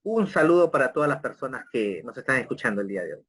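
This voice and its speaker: A man's voice: mid-range voice, sing-song, fast, fairly bright tone, breathy edge. A man hosting an interview, friendly and hesitant with fillers. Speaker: interview host.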